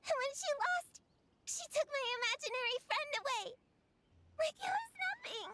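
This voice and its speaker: Stubborn, emotional young girl, anime game style, very high and animated. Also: moderate pace, heavily acted. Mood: tearful confession. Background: game music.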